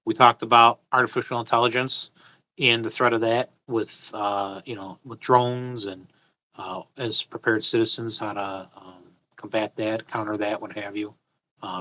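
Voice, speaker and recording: A man's voice: lowish voice, moderate pace, bright, forceful tone. A man hosting a casual livestream off the cuff, speaking bluntly and with strong opinions, repeating his phrases for emphasis. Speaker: host of a livestream.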